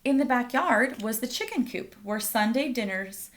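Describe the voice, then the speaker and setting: A woman's voice: fairly high pitch, expressive. A woman reading a children's picture book aloud as a storyteller, addressing young listeners directly. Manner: pauses to ask listeners questions.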